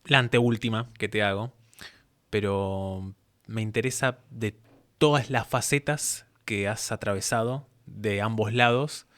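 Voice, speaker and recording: A man's voice: lowish voice, measured pace, a bit bright, dry recording. A man, asking questions as the host of a casual interview.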